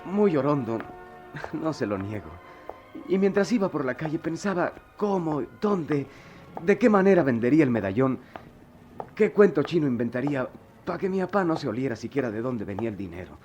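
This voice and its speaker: An actor playing a troubled, proud, remorseful working-class former pupil in a radio soap, with a Mexican accent and halting, colloquial speech. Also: male voice, mid-range voice, lively intonation, darkish tone, soft background music, lightly acted. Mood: confessional, subdued. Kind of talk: melodramatic radio soap acting.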